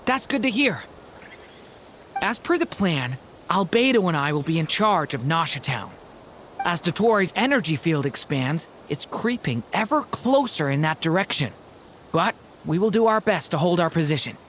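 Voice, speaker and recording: A man playing a friendly, upbeat young defender in a video game cutscene, cheerful and lively. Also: fairly high pitch, normal speed, slight background noise.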